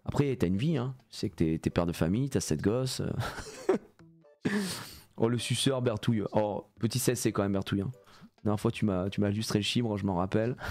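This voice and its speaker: A man in his thirties with a cold, streaming a game live and joking loosely with chat, often swearing. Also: fairly low pitch. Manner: animated, jokey, swears a lot, reads chat aloud. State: congested with a cold. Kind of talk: live gameplay stream chatting with viewers.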